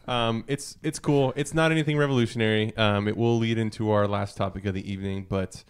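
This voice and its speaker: A man's voice: fairly low pitch, steady tone, a little fast, clean tone. A man co-hosting a podcast in casual chat, joking and interrupting himself as he talks. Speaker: podcast co-host. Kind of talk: casual group chat, anecdotes and gaming news.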